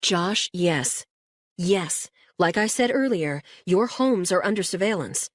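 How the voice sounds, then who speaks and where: A woman reading an audiobook and voicing a calm adult woman investigator who speaks in a steady, reassuring way. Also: lowish voice, slow, no echo, studio quality.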